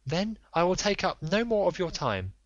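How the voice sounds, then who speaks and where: A man's voice: high voice, big pitch swings, moderate pace, no room sound, voice pushed up. A man voicing an anxious noble Victorian lady who pleads in formal, earnest speech. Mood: composed. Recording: dull lo-fi dry recording.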